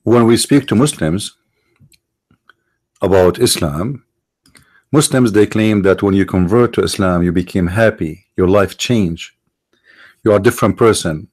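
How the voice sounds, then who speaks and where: Arabic-accented man with a low voice. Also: darkish tone. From Middle East. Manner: halting, repeats and restarts words, stresses points. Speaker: online show host. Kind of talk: monologue commentary.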